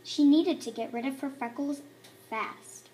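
A woman reading a children's storybook aloud in an expressive way, acting out the emphatic words. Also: high-pitched, measured pace. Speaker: storybook reader.

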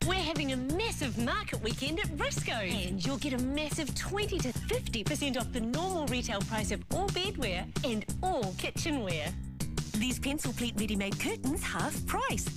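A woman announcing a retail sale in a scripted commercial. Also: high voice. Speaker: advert announcer. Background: loud music.

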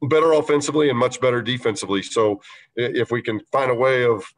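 A man coaching a basketball team, answering reporters' questions after a game, measured and halting with many fillers. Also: mid-range voice, fairly even intonation, rough recording. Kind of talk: post-game press conference answers.

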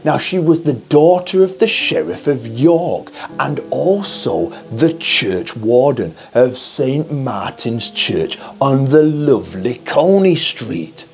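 A man's voice: mid-range voice, sing-song, slow pace, gravelly, hardly any pauses, soft background music. A Yorkshire man with a Yorkshire accent, hosting his channel and telling history as a theatrical, chatty storyteller who addresses viewers directly. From Yorkshire, England. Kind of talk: costumed dramatic history storytelling. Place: indoor studio in front of a backdrop screen.

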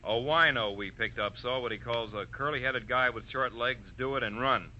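An actor playing a dry, firm police detective lieutenant with a businesslike manner in a 1940s radio drama. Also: male voice, medium pitch, normal speed. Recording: dull, sharp old radio recording.

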